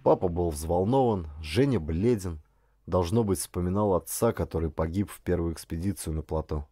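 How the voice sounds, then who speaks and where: A male actor narrates a literary adventure audiobook in the first person. His voice is on the low side and mellow, with very varied intonation that carries the storytelling. The sound is dry, with no room around it. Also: normal speed, slightly rough, no room sound.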